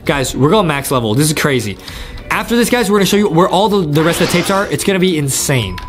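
A young man giving excited, repetitive gameplay commentary to his viewers as a video host. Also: a little high, fast talker. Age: young adult. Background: faint music.

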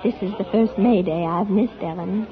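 An actress playing a gentle, wistful old spinster in a 1940s radio drama. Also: female voice, mid-range voice, moderate pace, a faint crowd, lightly acted. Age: older. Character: frail old spinster, gentle, wistful, former opera star. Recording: tinny 1940s radio recording, music behind.

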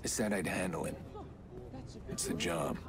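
A man playing a brooding hacker vigilante and hired driver in a video game, speaking in a clipped, deadpan, gritty way. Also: low voice, a little slow, creaky, a crowd in the background. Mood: cool, curt. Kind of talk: video game cinematic acting. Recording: music under the voice.

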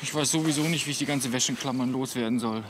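Actor as a reluctant, grumbling camper sidekick. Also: male voice, mid-range voice, quick pace, noisy background, close to an everyday voice. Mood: weary. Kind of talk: German comedy radio play acting.

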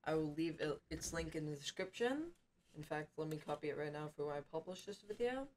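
A man's voice: on the high side, lively intonation, brisk, darkish tone. Young man in a noisy home recording. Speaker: reaction video host. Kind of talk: casual reaction commentary. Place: small home room, indoors.

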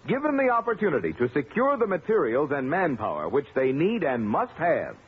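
American guy, formal old-time radio announcer, lively intonation, tinny 1950s broadcast sound. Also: a little high, a little fast. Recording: narrow, faintly noisy 1950s radio broadcast.

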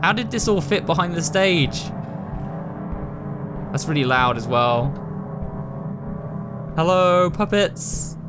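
A man's voice: fairly high pitch, lively intonation, slow pace, long pauses. A British man giving chatty, casual commentary while playing a horror game, addressing his viewers as host. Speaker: gaming video host. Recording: voice over game music. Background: ominous game music.

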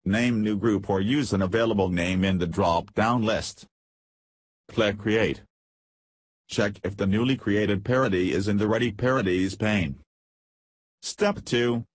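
A man reading scripted software tutorial narration in a measured, step-by-step way. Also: lowish voice, slightly rough, no echo.

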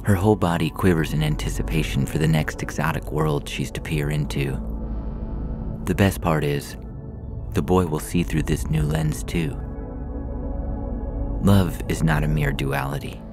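A man narrates fiction in an extremely low voice with heavy fry. His reading is measured and deliberate, set over clearly audible music. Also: steady tone. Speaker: podcast story reader.

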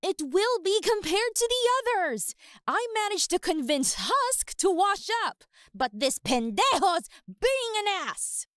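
A woman's voice: very high-pitched, sing-song, a little slow, fairly bright tone, no room sound, studio quality, full character voice. A woman voicing a feisty, irritable female demon in a cartoon, with a blunt manner. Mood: exasperated. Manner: blunt, peppered with Spanish swear words.

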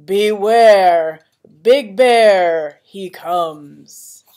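A woman's voice: medium pitch, extremely slow, dark tone. A woman reading a children's picture book aloud in a playful, dramatic manner, with chatty asides to young listeners. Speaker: elementary school vice principal reading to pupils.

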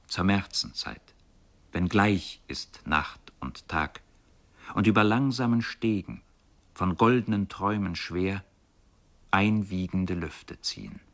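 Low male voice, reading poetry and literary stuff for radio, measured and formal. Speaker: radio feature speaker. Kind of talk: literary narration and poetry recitation.

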